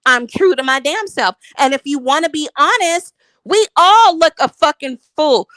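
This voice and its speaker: A woman's voice: high voice, expressive, moderate pace, fairly bright tone, no echo. A married woman defending herself in a heated, profane livestream argument, emphatic and defensive. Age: adult, married. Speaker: YouTube commentator.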